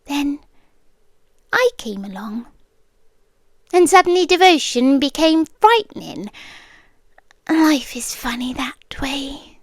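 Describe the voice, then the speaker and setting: A young British woman voicing an obsessive young girlfriend who has kidnapped her partner, speaking softly and often dropping into whispers, close to the microphone in an ASMR roleplay. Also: sits high, takes it slowly, long pauses, dry recording, full character voice. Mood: coolly menacing. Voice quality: calm, intimate, unsettling. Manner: soft-spoken, slipping into whispers.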